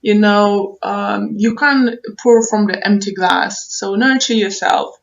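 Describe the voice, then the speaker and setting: Slow-paced woman giving a tarot reading. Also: mid-range voice, gravelly, muffled recording. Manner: halting, repeats phrases, thinks aloud. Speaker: tarot reader, online show host. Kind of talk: unscripted tarot reading, advice to viewers.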